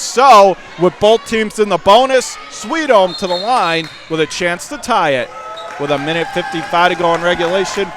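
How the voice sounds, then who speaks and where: An American man giving live, excitable radio play-by-play of a school basketball game in clipped phrases. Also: high-pitched. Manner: excitable, clipped running call of the action. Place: courtside at a school gymnasium. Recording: gym crowd noise, faint background hiss. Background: crowd and bouncing basketball.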